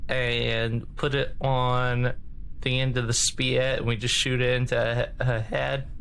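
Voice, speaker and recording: A man's voice: medium pitch, level tone, a little slow, vocal fry, a bit bright, a little hiss or hum, poor audio. A man playing a tabletop game with casual, jokey, rambling role-play banter in a folksy manner.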